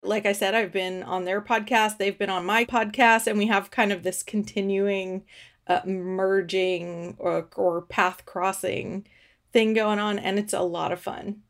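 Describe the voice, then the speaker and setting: A woman's voice: medium pitch, steady tone, unhurried. A woman hosting a podcast, chatting easily and laughing often during an interview over a video call. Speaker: podcast host.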